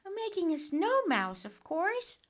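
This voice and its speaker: A woman voicing an eager, wistful young boy mouse in a storybook, pleading and excitable. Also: very high-pitched, measured pace, pitched above the performer's own voice, full character voice. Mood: bright, eager.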